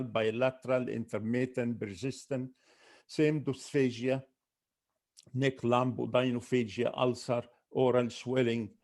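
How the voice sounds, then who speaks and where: A man's voice: mid-range voice, a little mellow. A man, an ENT doctor, giving a medical webinar lecture, listing symptoms one by one with careful restarts.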